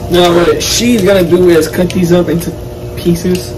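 A man's voice: high-pitched, fairly even intonation, measured pace, noisy background. A young man in his twenties giving laid-back cooking tips in a casual home-kitchen chat. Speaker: cooking vlog co-host. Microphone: camera on the counter at a distance. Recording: distant camera mic, kitchen clatter.

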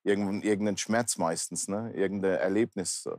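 Male interview guest, fifties, very low register, earnest plain-spoken testimony, dry sound. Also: fairly even intonation, moderate pace, a little mellow, dry recording. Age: 50s. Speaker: talk show guest, pastor. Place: large indoor bar hall, seated interview.

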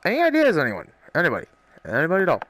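A man's voice: a little high, wildly swooping pitch, racing, fairly bright tone, no echo. A man giving casual let's-play commentary, puzzled and often muttering to himself. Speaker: video game streamer, host. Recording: faint noise, keyboard and mouse clicks.

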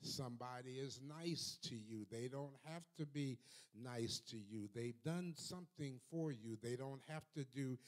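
An older man preaching a sermon from a church pulpit into a handheld microphone, measured at first and building to fervent repetition. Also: mid-range voice. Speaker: pastor. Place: church sanctuary.

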